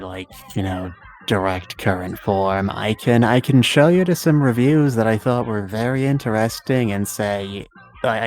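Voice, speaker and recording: A man chatting casually as the guest in a livestream interview, wordy and self-deprecating, often halting mid-phrase. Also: on the low side, a little mellow.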